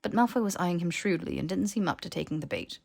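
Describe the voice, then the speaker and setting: Low-voiced woman narrating fiction. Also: lively intonation, fast talker, vocal fry, darkish tone, husky. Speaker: audiobook narrator. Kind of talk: expressive scripted fiction narration.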